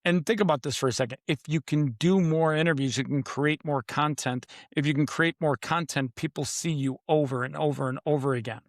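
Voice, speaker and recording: A man's voice: a little high, fairly quick, few pauses, dry recording, studio quality. A man who is a podcast guest and author, talking in a confident, emphatic way. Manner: emphatic, confident, repeats "literally". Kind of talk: casual podcast interview.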